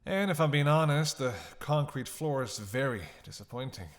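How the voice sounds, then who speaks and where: A man's voice: medium pitch, expressive, moderate pace, lightly acted. A man playing a snarky, defiant captive vampire in a roleplay audio drama, sarcastic, teasing and theatrical, with a drawl. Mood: mocking.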